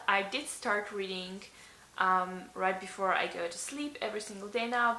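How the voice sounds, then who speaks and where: A woman's voice: mid-range voice, bright, forceful tone, reverberant, poor audio. A young woman from Slovenia, a native Slovene speaker, chatting casually to camera in her bedroom, filling her answers with ums and likes. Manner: chatty, many ums and likes, laughs often. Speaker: beauty vlogger. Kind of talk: casual tag video chat. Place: bedroom, indoors.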